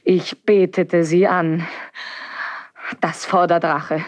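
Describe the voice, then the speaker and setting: An actress playing a passionate young princess, spurned and turning vengeful, in a theatrical, intense 1970s German radio play style. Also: female voice, fairly low pitch, flat delivery, slow, no room sound. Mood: bitter realisation. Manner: theatrical, intense, with sudden drops to whispers.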